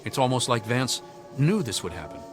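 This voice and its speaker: A man's voice: mid-range voice, sing-song, brisk, over music, natural voice. An actor playing a troubled, orphaned young man in a video game, speaking in a plain, reflective way. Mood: somber, confessional.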